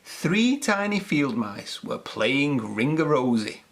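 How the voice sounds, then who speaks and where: Slow, very animated woman reading a storybook. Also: rumbling low. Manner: deliberate, expressive. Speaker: children's story reader. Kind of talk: read-aloud storybook narration.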